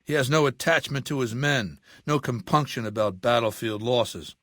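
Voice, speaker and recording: Actor as imperious, cultured warlord, dryly recorded. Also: male voice, mid-range voice, a bit bright, dry recording, studio quality, natural voice. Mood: cold, calculating. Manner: imperious, erudite, measured, coldly matter-of-fact. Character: ruthless, cultured warlord dictator in middle age. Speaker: video game villain. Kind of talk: dramatic game dialogue monologue.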